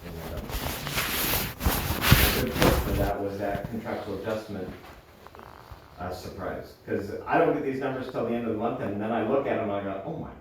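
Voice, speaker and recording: A man's voice: lowish voice, moderate pace, long pauses. A man presenting finances to a board, explaining figures step by step and checking that listeners follow with an okay. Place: indoor public board meeting room. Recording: echoey meeting room, distant mic, noisy.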